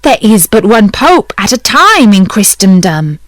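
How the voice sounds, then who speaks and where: A woman's voice: medium pitch, very animated, measured pace, dry recording, clean studio sound. A woman voicing a timid old fisherman who pleads meekly with his wife and with a magic fish in a storybook reading. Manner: pleading, meek. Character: meek, timid old fisherman, the wife's husband.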